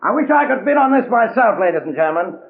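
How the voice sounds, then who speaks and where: An actor playing a jovial charity auctioneer with showy, coaxing patter in a 1940s radio drama. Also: male voice, high-pitched, very animated, fast talker, creaky, exaggerated voice. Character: jovial, fast-patter male charity auctioneer working a crowd. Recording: tinny, noisy 1940s radio recording.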